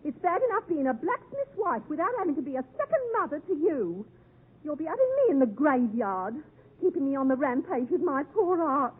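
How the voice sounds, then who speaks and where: Shrill, scolding blacksmith's wife. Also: female voice, sing-song, brisk. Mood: aggrieved nagging. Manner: scolding, exasperated, sharp-tongued. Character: shrewish scolding blacksmith's wife, harsh older sister. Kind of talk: 1950s radio drama acting. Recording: tinny 1950s radio broadcast.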